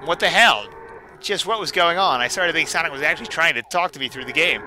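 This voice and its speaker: A man's voice: on the high side, animated, fast talker, sharp tone, slight background noise. A man playing an earnest young gamer who narrates his horror tale in an overwrought way. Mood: anxious melodrama. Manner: overwrought, melodramatic.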